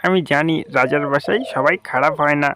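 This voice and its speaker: A man's voice: fairly high pitch, fairly quick, background noise, lightly acted. A man voicing a reassuring bird friend in a cartoon. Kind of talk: cartoon voice acting.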